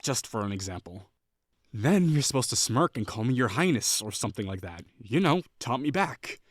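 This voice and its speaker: A man's voice: medium pitch, very animated, moderate pace, dry recording, studio quality. A young man voicing a prickly, condescending perfectionist classmate in an audio roleplay, talking in a bossy, sarcastic way. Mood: exasperated, heated. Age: young adult. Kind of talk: scripted roleplay acting.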